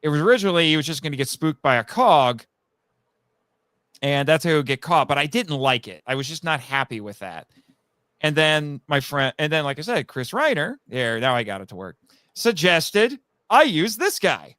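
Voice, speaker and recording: Clear-voiced man in rambling podcast chat. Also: fairly high pitch, sing-song, normal speed, bright, forceful tone, no room sound, clean studio sound. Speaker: guest, audio drama writer. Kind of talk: casual live podcast chat.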